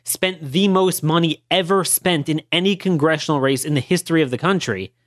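A hoarse man hosting a political podcast, arguing his point emphatically and asking rhetorical questions. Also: a little high, fairly quick, fairly bright tone, no echo, clean studio sound. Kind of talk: opinionated political monologue.

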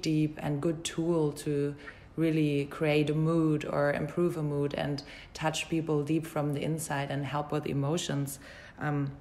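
Woman, low pitch, flat even intonation, thoughtful interviewer, supportive, measured conversational delivery. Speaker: interviewer, host.

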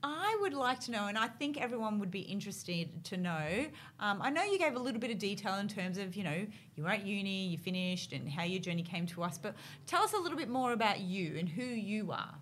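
A woman's voice: mid-range voice, animated, a little fast, hardly any pauses, a bit bright. An Australian woman hosting a casual interview, chatty and affirming, with many fillers. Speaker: host, interviewer.

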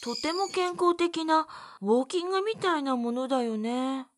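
An actress playing a shy, gentle young woman who loves plants in a Japanese audio drama, speaking softly and earnestly. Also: high-pitched, expressive, moderate pace, a little hiss or hum. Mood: hesitant, pondering.

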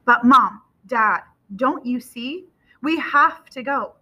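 Woman playing a teenage boy, storybook character, high and sharp, earnest, defiant, urgent. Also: takes it slowly, heavily acted. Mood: pleading, defiant. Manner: urgent, argumentative, indignant.

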